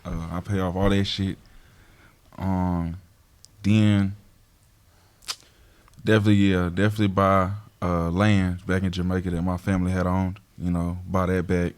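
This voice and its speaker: Laid-back guest from the South, very low voice, casual swearing. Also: male voice, flat delivery, moderate pace, long pauses, smooth. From Georgia and Tennessee. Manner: easygoing and profane. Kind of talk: casual group chat.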